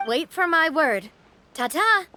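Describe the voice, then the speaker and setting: Perky game investigator, high bright voice, breezy, businesslike. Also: female voice, very animated, takes it slowly, a little hiss or hum, heavily acted. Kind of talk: video game voice acting.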